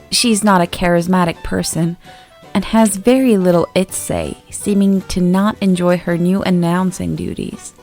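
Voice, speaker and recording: A woman reading a scripted creepypasta as narrator, in a measured, expository read-aloud delivery. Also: lowish voice, a little mellow. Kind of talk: scripted horror story narration. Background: background music.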